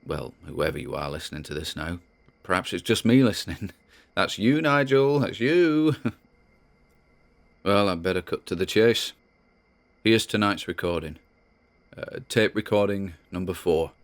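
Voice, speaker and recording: An actor playing a spooked man who rambles haltingly and nervously as he records private audio logs in an audio drama. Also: sits low, creaky. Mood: spooked, rambling. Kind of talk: dramatic audio-log monologue.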